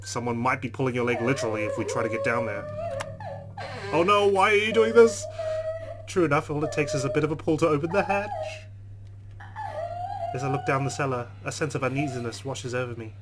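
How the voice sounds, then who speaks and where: A man's voice: medium pitch, brisk, pauses often, over music. A man hosting a let's play, reading a visual novel's text aloud dramatically and adding his own commentary.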